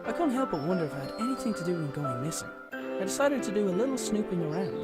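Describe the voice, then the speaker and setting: Lively-pitched, pondering young father. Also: fast talker. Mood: pensive. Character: curious young father searching for his missing dad. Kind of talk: reflective scripted game narration in past tense. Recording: voice under loud music. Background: loud game music.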